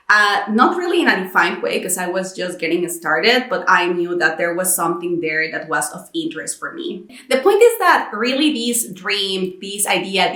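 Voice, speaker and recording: A thirty-year-old woman from Mexico telling her personal story in a chatty vlog, acting out her own thoughts as she goes. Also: lowish voice. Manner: animated retelling with quoted inner dialogue. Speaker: YouTube content creator. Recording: roomy echo.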